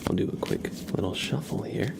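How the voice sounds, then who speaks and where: An ASMR livestream host of unclear sex speaking gently and politely to viewers in a breathy, creaky whisper, close to the mic. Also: heavy background noise. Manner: slow, gentle, polite, thanking and welcoming viewers. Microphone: close sensitive ASMR microphone.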